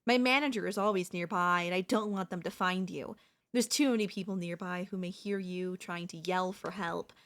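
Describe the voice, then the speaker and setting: A woman playing an obsessive female pop idol turned kidnapper in an ASMR roleplay, speaking in a soft, coaxing, doting way with menace underneath. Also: fairly low pitch, fairly even intonation, normal speed. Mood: calm, menacing.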